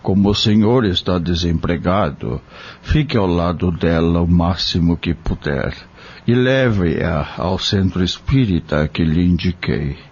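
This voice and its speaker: A man playing a kind, devout country doctor in an audio drama, speaking solemnly and gently, like a fatherly preacher. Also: sits low, a little slow, slightly rough, highs cut off, heavily acted. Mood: gravely advising.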